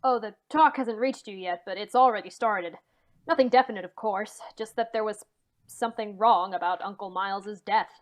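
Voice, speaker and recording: A woman's voice: medium pitch, moderate pace, natural voice. A woman acting a nervous, hesitant man in an old-time radio mystery, stammering as the character confides. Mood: troubled, confiding. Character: anxious man confiding a suspected family murder.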